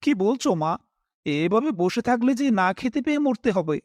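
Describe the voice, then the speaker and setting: Man acting a high-voiced, expressive, worried family man. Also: normal speed, dry recording, clean studio sound. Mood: reassuring, insistent. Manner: dramatic, emotive. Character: worried, dutiful family man narrating his own misfortune. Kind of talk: first-person horror story narration with acted dialogue.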